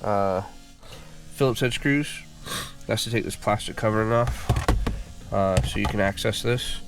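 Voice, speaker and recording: A man with a low voice, stuffed up and congested, talks through a car repair job in a relaxed, rambling way with lots of ums and uhs. Faint music plays softly behind him. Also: a little slow. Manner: relaxed, filler-heavy explaining. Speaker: car repair channel host. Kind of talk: casual how-to walkthrough. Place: working at a car.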